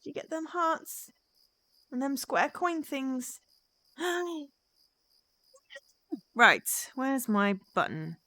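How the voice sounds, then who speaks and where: A woman's voice: high voice, sing-song, drawn-out words, pauses often, pushed and bright, dry recording. A woman chatting casually and exuberantly with viewers as she live-streams a game.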